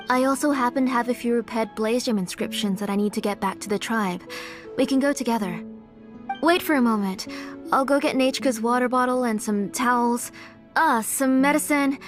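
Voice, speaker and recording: A woman playing a gentle, careful mother. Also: a little high, lightly acted. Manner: gentle, fussing, measured. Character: caring mother, careful and worried. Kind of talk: game cutscene voice acting. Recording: game audio with background music.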